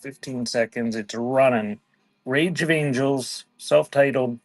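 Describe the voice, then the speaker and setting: A man speaks at a slow, unhurried pace in a casual live-auction style. His delivery is laid-back and conversational, and the sound is dry and close, with little room to it. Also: mid-range voice, dry recording, faint music behind. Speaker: live auction seller and host.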